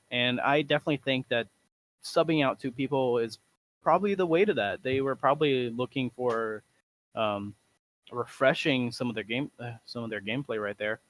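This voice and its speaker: A male esports caster with a Portuguese accent, commenting live on a match. His delivery is animated and he often restarts his words, and his voice sits at an ordinary middle pitch for a man. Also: no echo, low-quality recording.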